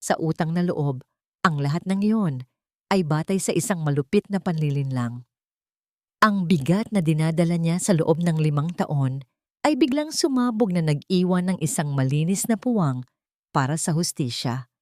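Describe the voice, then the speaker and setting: A woman's voice: sits low, animated, moderate pace, dry recording, studio quality. A woman narrating a dramatic audio story in a solemn, theatrical manner.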